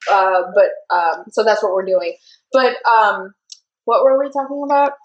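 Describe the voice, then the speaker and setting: A woman's voice: mid-range voice, animated, normal speed, echoey room, muffled recording. A woman hosting a live talk show in a casual, chatty way, often giggling and trailing off mid-thought. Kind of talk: casual live-stream chat.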